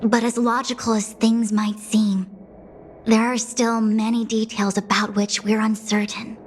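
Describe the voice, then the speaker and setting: A woman voicing a composed young diviner official, speaking in a measured, formal and slightly exasperated way, with game music behind. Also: a little high, little pitch movement, a little hiss or hum, lightly acted. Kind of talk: game cutscene voice acting.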